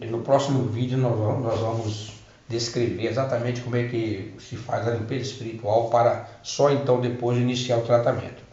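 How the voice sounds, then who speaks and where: A man's voice: mid-range voice, darkish tone. A middle-aged man telling viewers about his spiritual treatment, speaking off the cuff with many restarts. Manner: unscripted, halting with restarts. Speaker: spiritual healing presenter. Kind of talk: personal testimony to viewers. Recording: roomy, dull lo-fi sound with faint noise.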